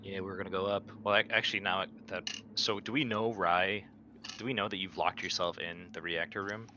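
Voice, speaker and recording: A man's voice: low voice, fairly even intonation, fairly quick, muffled recording. A man chatting casually as a player in a tabletop roleplaying game. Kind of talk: casual in-character game chat. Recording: clear background noise.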